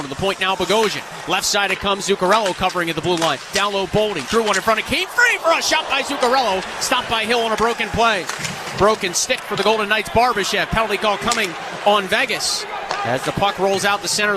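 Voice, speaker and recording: A man's voice: sits high, fairly quick, noisy background. A man calling live ice hockey on the radio in clipped, vivid running commentary. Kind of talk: live sports play-by-play commentary.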